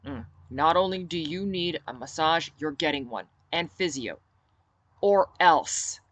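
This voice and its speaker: A woman's voice: deep voice, expressive, unhurried, a bit bright, dry recording, full character voice. A woman reading an audiobook and voicing a firm, commanding male rebel fighter who likes to tease. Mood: firm, stern.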